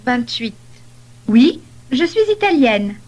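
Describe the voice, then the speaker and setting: A woman playing a polite young holidaymaker in a scripted language-lesson dialogue, speaking deliberately. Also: sits high, sing-song, a little mellow, heavily acted. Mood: polite, helpful.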